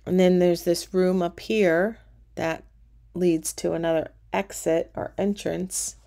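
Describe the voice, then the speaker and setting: A middle-aged woman casually narrating a walking tour of a cruise ship casino, relaxed and pointing things out as she goes. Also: on the low side, takes it slowly, creaky.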